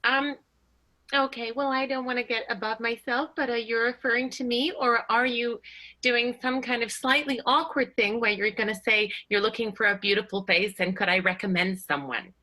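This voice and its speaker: A woman's voice: a little high, steady tone, bright, forceful tone, rough recording. A woman playing a politely hesitant, arch lady star in an improvised comic radio play. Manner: halting, tactful, coy.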